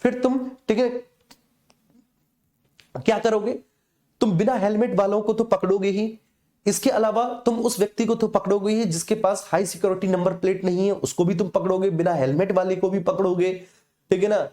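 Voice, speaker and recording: A man's voice: high-pitched, steady tone, moderate pace, warm tone. A man giving an informal exam lesson, explaining conversationally with frequent check-in tags. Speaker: teacher for police exam coaching.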